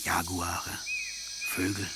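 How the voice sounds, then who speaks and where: Male narrator, low pitch, solemn and measured myth narration, radio drama, loud cricket and nature ambience. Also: completely flat delivery, very noisy.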